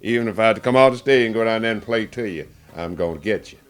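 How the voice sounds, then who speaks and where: A man's voice: fairly low pitch, fast talker. A man who sings and plays blues guitar, talking in an interview in an emphatic, colloquial, demonstrative way. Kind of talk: casual interview.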